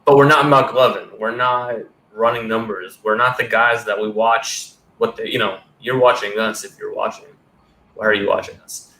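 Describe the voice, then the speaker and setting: A man's voice: on the low side, steady tone, normal speed, bright, forceful tone. A man in his thirties hosting a casual sports podcast over a video call, talking loosely and rambling, with casual swearing. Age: 30s. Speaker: podcast host. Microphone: headset on a video call. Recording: roomy sound with faint background noise.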